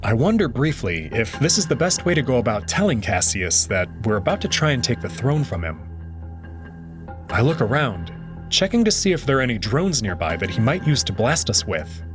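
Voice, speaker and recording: A man narrating a visual novel aloud in an expressive way. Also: mid-range voice, moderate pace. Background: background music.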